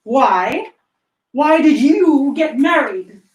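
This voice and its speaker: A woman's voice: high-pitched, expressive, extremely slow, full character voice. Woman playing a scornful grumpy miser uncle, echoey room. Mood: curt, exasperated. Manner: curt, scornful, declamatory. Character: bitter, grumpy old miser uncle, male. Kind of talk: dramatic play reading. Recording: roomy, distant microphone.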